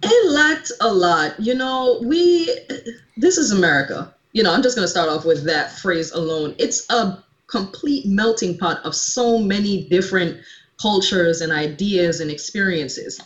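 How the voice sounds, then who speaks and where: A Jamaican woman, a guest on a casual live-stream discussion, speaking emphatically over a video call and jumping high in pitch when she gets going. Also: expressive, unhurried, dull audio. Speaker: guest, fan fiction author. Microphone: video call mic.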